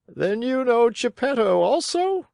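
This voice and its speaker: A narrator playing a large, kindly pigeon in a children's storybook, calm and helpful with a measured delivery. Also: medium pitch, gravelly, no room sound, clean studio sound, voice pushed up. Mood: curious. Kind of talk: children's storybook character reading.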